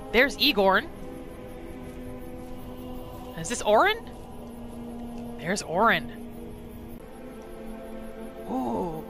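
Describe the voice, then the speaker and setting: A woman hosting a casual game roleplay playthrough, narrating her character's thoughts in the third person with little asides. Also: mid-range voice. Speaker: gaming channel host.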